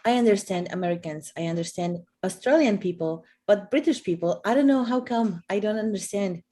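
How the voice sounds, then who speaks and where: A woman's voice: medium pitch, a little fast, warm tone. A woman from Poland, chatting casually on a live stream, full of "like" and "okay". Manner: chatty, with many "like" and "okay" fillers.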